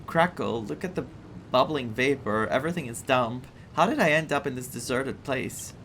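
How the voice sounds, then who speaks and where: A man's voice: mid-range voice, expressive, moderate pace, fairly bright tone, a little hiss or hum. A man voicing a small, forlorn living flame from a video game, in plaintive storytelling. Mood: forlorn, pleading. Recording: game music behind the voice.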